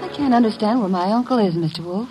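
A woman playing a polite, coy young lady in an old radio drama, courteous, expressive and theatrical. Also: mid-range voice, fast, faint music behind, natural voice. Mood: worried. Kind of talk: 1940s-1950s radio drama acting. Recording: noisy vintage radio recording.

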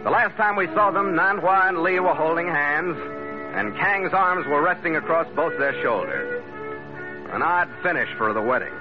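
A man's voice: on the high side, expressive, moderate pace, close to an everyday voice. An actor playing a tough, wry sea captain who narrates his adventures tersely and vividly in 1940s radio drama style. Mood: wry, matter-of-fact. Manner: hardboiled, vivid storytelling, terse. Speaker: lead actor and first-person narrator. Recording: dull old radio recording, loud music behind.

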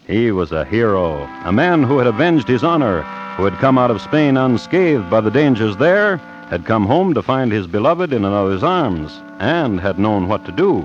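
Deep-voiced old radio storyteller, very lively delivery. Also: male voice, moderate pace. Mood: mock-solemn. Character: wry formal narrator of an old Scottish tale. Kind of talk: old-time radio drama narration. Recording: muffled old radio recording, music behind.

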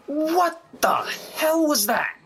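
A man's voice: extremely high pitch, lively intonation, takes it slowly, fairly bright tone, faint music behind, full character voice. An actor in an audio drama playing a brash, teasing young male drummer who blusters in a folksy, needling way. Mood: indignant.

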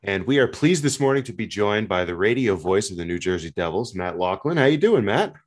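A man's voice: on the low side, lively intonation, brisk. A man co-hosting a sports podcast, chatting casually and putting questions to a guest. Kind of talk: casual sports chat and interviewing.

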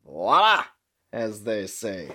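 A man's voice: fairly low pitch, expressive, slow, bright, forceful tone, heavily acted. An actor playing a fussy, dry middle-aged American intelligence official in a radio play, chatty and officious in his talk. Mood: fussy, affable. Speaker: actor in audio drama.

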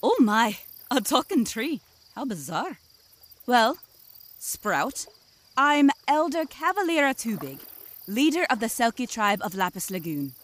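An actress playing a dignified, solemn selkie elder with formal, ornate speech in a fantasy audio drama. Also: female voice, on the high side, very animated, measured pace, a bit bright, full character voice. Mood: taken aback.

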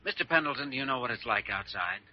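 An actor playing an earnest, confident hospital doctor with dry wit, explaining things in a measured way in an old radio drama. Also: male voice, medium pitch, lively intonation, natural voice. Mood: reassuring. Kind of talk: 1940s-50s radio drama acting. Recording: dull vintage radio recording.

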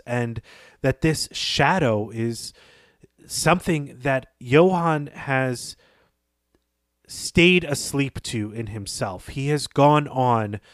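A man co-hosting a podcast, talking through a story in a thoughtful, analytical way with frequent pauses. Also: medium pitch, no room sound, clean studio sound. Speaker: podcast co-host. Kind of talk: literary discussion podcast.

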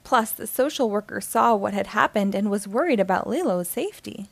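A woman's voice: mid-range voice, animated, brisk, creaky, no echo. A woman reading a children's story aloud as scripted narration.

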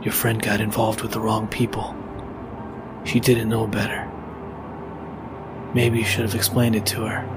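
A man playing a brooding, grim masked vigilante in an audio drama, speaking and narrating in a terse, deliberate way. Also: on the low side, monotone, natural voice. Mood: stern. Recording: loud music behind the voice.

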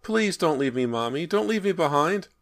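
A terrified little ghost boy for a horror audiobook. The voice is tiny, childlike and pitched far up, swooping as it pleads and wails for its mother. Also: animated, normal speed, fairly bright tone. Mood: lonely, scared. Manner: pleading, wailing for his mother. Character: frightened little ghost boy trapped inside a wall.

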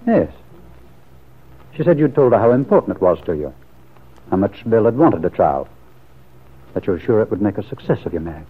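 A man's voice: on the low side, very animated, fairly quick, pauses often, slightly rough. An actor playing a suspicious, brooding man in a classic radio soap opera, earnest and probing, with hesitant phrasing. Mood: wounded. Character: suspicious, brooding lover pressing for the truth. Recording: muffled lo-fi vintage radio recording.

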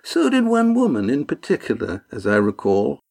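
A man voicing a menacing, sneering ship's master in an audiobook, with a smooth, taunting, overbearing manner. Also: mid-range voice, very animated, slow pace, heavily acted. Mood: menacing mockery. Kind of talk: audiobook character dialogue.

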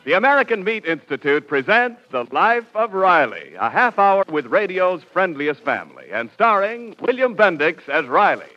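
A man's voice: high voice, very animated, normal speed, hardly any pauses, faint music behind. A male announcer delivering scripted narration in a polished, emphatic 1940s radio style. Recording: dull vintage radio recording.

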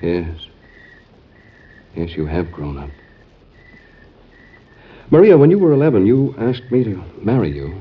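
An actor playing a gallant, teasing young gentleman suitor in classic American radio drama. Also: deep voice, big pitch swings, a little slow, pauses often, breathy edge, heavily acted. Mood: tender, teasing. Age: young adult. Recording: muffled old radio recording, faint noise.

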